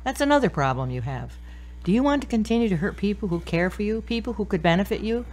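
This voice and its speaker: Woman playing a no-nonsense confidante in an audio drama, mid-pitched, caring but pushy and direct. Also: lively intonation, fast talker, a little mellow, close to an everyday voice. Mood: challenging. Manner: direct, probing. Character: tough-love woman confidante, caring, firm and challenging.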